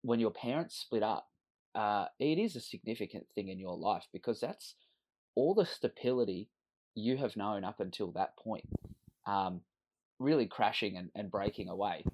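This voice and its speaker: A man's voice: on the low side, lively intonation, moderate pace, darkish tone, rough recording. A man in his thirties with an Australian accent, a podcast guest telling his life story in an earnest, reflective way. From Western Sydney, Australia. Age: 30s. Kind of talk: candid personal storytelling.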